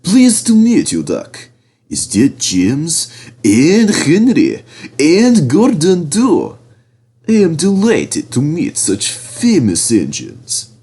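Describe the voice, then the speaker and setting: An oily diesel engine from a children's story, played by a man, smug and full of flattery. He purrs his words in a raised, swooping voice, conceited and falsely polite. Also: fairly high pitch, husky, pitched above the performer's own voice, exaggerated voice. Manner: purring, ingratiating, drawn-out words.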